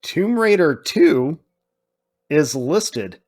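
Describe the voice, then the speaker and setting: A man's voice: fairly high pitch, sing-song, extremely slow, darkish tone. A man co-hosting a podcast, chatting casually about films in an informal, emphatic way that repeats points for stress. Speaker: podcast co-host. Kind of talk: casual film discussion.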